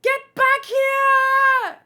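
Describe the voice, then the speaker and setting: A woman puts on an eager young pirate hero from a manga, reckless and hungry for adventure. The voice is high, bright and exuberant, leaping up into shouts. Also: level tone, extremely slow, heavily acted. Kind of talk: acted manga read-along.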